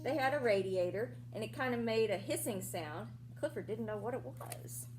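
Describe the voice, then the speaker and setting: A woman reading a picture book aloud to young children as a teacher, explaining things simply and pausing for questions and asides. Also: fairly high pitch, lively intonation, music in the background.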